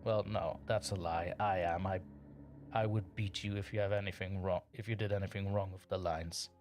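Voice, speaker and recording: A man's voice: deep voice, fairly even intonation, normal speed, darkish tone. A man hosting a livestream, chatting casually and playfully with his audience and reading their comments aloud, often chuckling. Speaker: livestream host reading chat.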